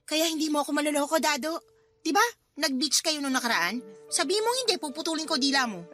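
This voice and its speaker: A woman's voice: high-pitched, animated, fast, music in the background. A woman playing a bitter, combative wife and mother in a radio drama, sarcastic and confrontational. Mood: sarcastic, accusing.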